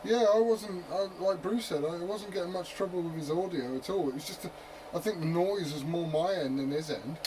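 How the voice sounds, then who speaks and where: A man's voice: high voice, a little fast, crowd noise. A man chatting casually and swearing freely over CB radio in slangy talk. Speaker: amateur CB radio operator. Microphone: CB radio set. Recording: noisy CB radio transmission, heavy static.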